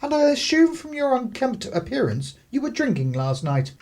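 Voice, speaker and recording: Actor as a pompous English clergyman father, expressive. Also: very high-pitched, normal speed, heavily acted. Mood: stern reproach. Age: middle-aged. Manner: formal, disapproving. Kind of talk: radio play acting.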